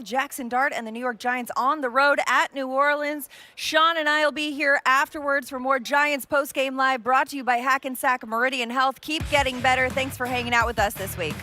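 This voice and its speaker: High, bright woman host signing off. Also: normal speed, hardly any pauses. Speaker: show host. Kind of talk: scripted sign-off.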